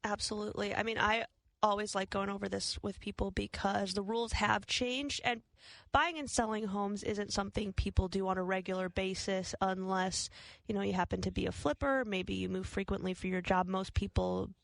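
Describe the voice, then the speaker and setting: A woman's voice: mid-range voice, fairly even intonation, moderate pace, vocal fry, dry recording. A woman hosting a financial advice show, explaining money matters in a conversational style.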